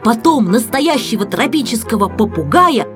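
A woman reads a children's story as an audiobook narrator, in an expressive scripted style. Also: on the high side, moderate pace. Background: background music.

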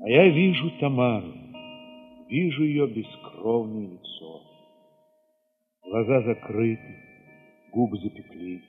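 A man with a husky voice reads a literary first-person story theatrically, moving between hushed passages and sudden swells. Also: mid-range voice, expressive, slow, long pauses, soft background music. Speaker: narrator reading an audio play. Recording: reverberant, slightly dull sound.

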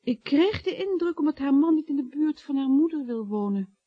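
An actress playing a loyal, efficient office secretary in a radio comedy, coaxing and expressive. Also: female voice, sits high, moderate pace, dry recording, lo-fi sound. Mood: concerned. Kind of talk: radio comedy drama acting.

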